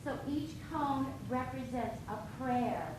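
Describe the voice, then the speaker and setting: A woman whose first language is Lakota Dakota speaks to an audience in a calm, explanatory way. Her voice sits a little high. She is heard from a distance in a very echoey hall with steady noise behind her. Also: slow. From Dakota Lakota and Ojibwe people. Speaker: flute player and dancer presenting to an audience. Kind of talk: educational talk to an audience.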